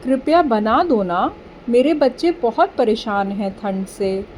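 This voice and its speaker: A woman voicing a small, caring mother bird in an animated story, earnest and plaintive, with emphatic pleading. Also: fairly high pitch, a little slow, a little hiss or hum.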